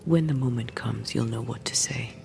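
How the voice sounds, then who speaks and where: An actress playing the resentful ghost of a woman ghost hunter in a video game, speaking in a measured, grave, direct way. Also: rumbling low, creaky, a little mellow, faint music behind, full character voice. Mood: calm, resigned. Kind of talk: dramatic video game acting.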